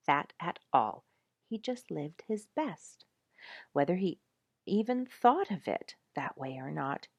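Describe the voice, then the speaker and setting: A woman narrating a nature story from a script in a measured, emphatic storytelling manner. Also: on the low side, darkish tone, no echo, clean studio sound. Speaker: audiobook narrator reading a nature memoir.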